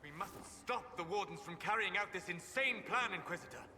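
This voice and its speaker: A man's voice: high voice, expressive, brisk, bright, forceful tone, long reverb tail, a little hiss or hum, heavily acted. An actor voicing an anxious, solitary male warden who talks in agitated bursts and then broods. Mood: earnest.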